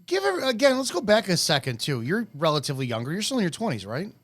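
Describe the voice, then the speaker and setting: A man in his forties hosting a podcast, asking questions and sharing his views in a lively, conversational way. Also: high-pitched, fast, no room sound. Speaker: podcast host. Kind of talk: casual interview chat.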